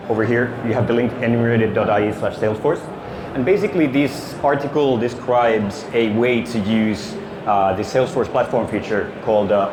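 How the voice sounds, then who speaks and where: A middle-aged man from Finland gives a casual conference talk on a handheld mic, full of fillers and restarts. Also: lowish voice. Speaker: conference speaker, security consultant. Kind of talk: conference presentation. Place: conference stage in an exhibition hall. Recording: echoey hall with ambient noise.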